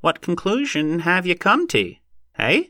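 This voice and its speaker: Man doing a high, tinder-dry impatient banker. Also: huge pitch swings, measured pace, pushed and bright, no room sound, exaggerated voice. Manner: insistent, demanding. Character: impatient, dry-voiced male banker and horse trader.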